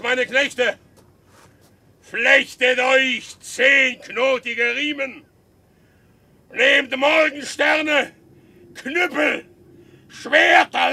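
An actor playing a wronged horse dealer turned vengeful rebel leader in a radio play, declaiming and commanding. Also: male voice, very high voice, creaky, bright, forceful tone, roomy sound, exaggerated voice. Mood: rallying, fierce. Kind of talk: German radio play acting.

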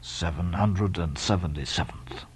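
A man's voice: sits low, husky, no echo, pitched below the performer's own voice, heavily acted. Man putting on a sepulchral, pious old sea captain. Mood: mumbling, unmoved. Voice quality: sepulchral, mumbling. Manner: slow, steady, archaic pious speech.

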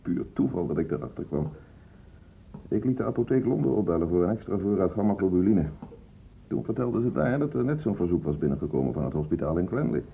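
An actor playing a matter-of-fact, world-weary hospital doctor, reporting tersely in a radio play. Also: male voice, deep voice, animated, fast, vocal fry, husky, full character voice. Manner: colloquial, terse clinical reporting. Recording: tinny, noisy old radio play recording.

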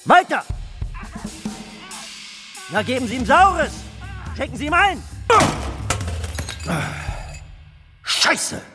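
Menacing, theatrical Nazi minister in a reverberant hall. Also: male voice, extremely high pitch, sing-song, takes it slowly, full character voice. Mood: furious, barking. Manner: pompous, repetitive, swings from soft threats to barking. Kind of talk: German radio play acting. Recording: long hall reverb, faint music underneath.

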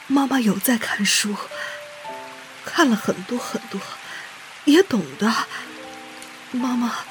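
A woman playing an anxious, loving middle-aged mother in a drama, hesitant and halting, often repeating herself. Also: high-pitched, poor audio. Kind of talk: screen-style audio drama acting.

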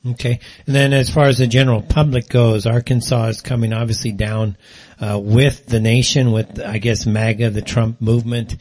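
A man hosting a political talk radio interview, putting wordy, halting questions to a guest. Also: mid-range voice, little pitch movement, a little mellow. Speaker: radio show host, interviewer.

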